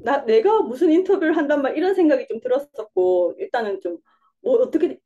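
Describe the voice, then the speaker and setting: Young woman, Busan accent, chatty interview guest who laughs a lot, quick delivery, warm low-key tone, lo-fi sound. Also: sing-song. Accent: Busan dialect. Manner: rambling, laughs often, self-deprecating. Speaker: interview guest, coaching student. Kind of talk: casual interview answers.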